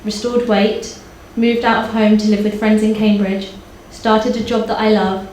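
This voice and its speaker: A woman's voice: medium pitch, level tone, measured pace, darkish tone. A woman in her twenties giving a prepared personal speech as an author at a live event. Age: 20s. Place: indoor live launch event. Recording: very echoey hall, faint background noise.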